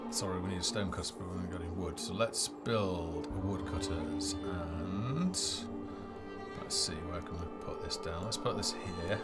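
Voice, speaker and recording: Low-voiced man streaming a game over music. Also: normal speed. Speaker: game developer hosting a livestream. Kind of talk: live gameplay commentary.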